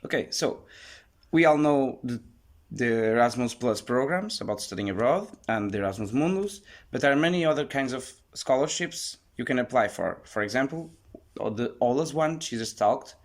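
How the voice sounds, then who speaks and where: A man's voice: medium pitch, expressive, normal speed. Man, podcast host, second-language english, friendly, hesitant. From Portugal. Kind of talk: casual chat.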